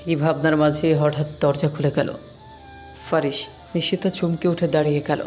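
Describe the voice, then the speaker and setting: A narrator with a flat, even delivery and a mid-pitched voice reads a scripted romance story. Music runs underneath the voice. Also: moderate pace.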